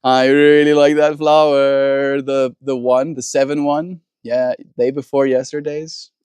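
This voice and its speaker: Gentle Afrikaans-speaking man, dry close sound. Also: medium pitch, level tone, a little slow, clear, resonant tone, dry recording. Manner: gentle, warm-hearted sign-offs with soft blessings. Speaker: live stream host. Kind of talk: reading a poem aloud and chatting with viewers.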